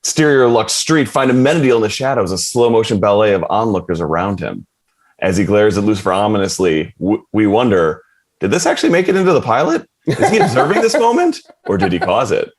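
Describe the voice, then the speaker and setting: A man's voice: lowish voice, sing-song, brisk. A man narrating stage directions from a script at a television table read.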